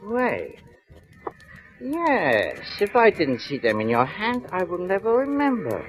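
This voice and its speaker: An actor playing a chatty old cigar store clerk in a radio drama, rambling and hesitant in folksy broken phrases. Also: male voice, high-pitched, huge pitch swings, slow pace, heavily acted. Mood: recalling. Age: older. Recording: dull vintage radio recording with music.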